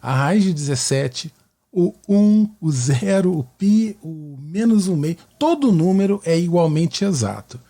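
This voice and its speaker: A man with a Carioca Brazilian accent and a husky, fairly high voice talks like a teacher hosting his own show. His tone is mellow, and he explains things conversationally, often checking that the listener follows. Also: lively intonation, a little slow. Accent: Carioca Brazilian Portuguese. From Rio de Janeiro, Brazil. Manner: didactic, with frequent tag questions seeking agreement. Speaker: podcast host and mathematics teacher.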